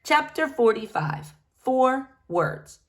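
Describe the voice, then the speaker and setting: A woman reading a children's novel aloud as expressive storytelling narration. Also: high-pitched, slow. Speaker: storybook reader.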